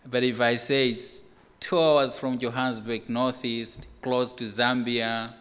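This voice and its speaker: Slow-speaking preacher in a reverberant hall. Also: male voice, medium pitch, level tone, smooth. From Malawi. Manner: slow, measured, with repetitions and occasional soft laughs. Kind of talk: sermon.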